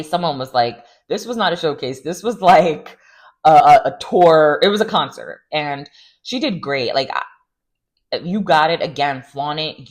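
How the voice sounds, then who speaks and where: A woman giving a casual, opinionated recap of a reality TV show, chatty and animated, with sarcastic asides. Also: sits low. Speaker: recap vlogger.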